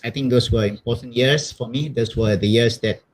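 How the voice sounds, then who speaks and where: A middle-aged man with a Malaysian accent speaks as an interviewed missionary priest. His voice has an average pitch. He tells his own story casually and hesitantly, repeating words and adding little conversational tags. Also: fairly even intonation, moderate pace, slight background noise.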